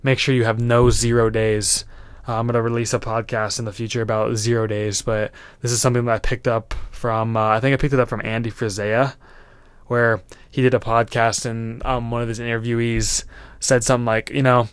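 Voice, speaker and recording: A man's voice: medium pitch, level tone, brisk, clear, resonant tone, no echo. A man in his twenties hosting a solo podcast, giving earnest motivational advice in a loose, rambling way with lots of fillers. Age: 20s. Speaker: podcast host.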